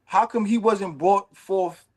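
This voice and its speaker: A man in his late thirties hosting a casual podcast chat, talking in an animated, emphatic way with streetwise slang. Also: high voice, slow. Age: 30s. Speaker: podcast host.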